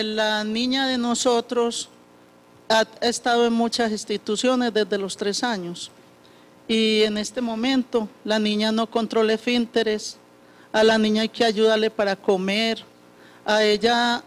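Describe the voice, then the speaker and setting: Casting: man, high voice, unhurried and halting, audience member giving testimony. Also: level tone. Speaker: parent speaking from the audience. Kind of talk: personal testimony at a conference.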